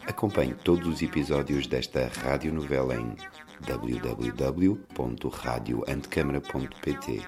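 Extremely deep-voiced male announcer. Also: moderate pace. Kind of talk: scripted radio soap introduction. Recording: music behind the voice.

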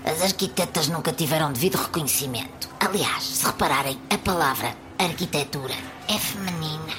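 An actress voices a sour woman of a comic radio soap. Her European Portuguese is dry and indignant, pitched quite low for a woman. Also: brisk, music in the background, natural voice. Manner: bitter, dry.

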